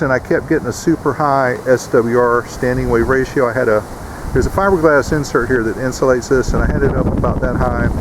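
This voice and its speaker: A man's voice: mid-range voice, background noise. A man giving a casual, plainspoken instructional vlog in a folksy way, like a host showing viewers his homemade setup. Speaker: host of homesteading video channel.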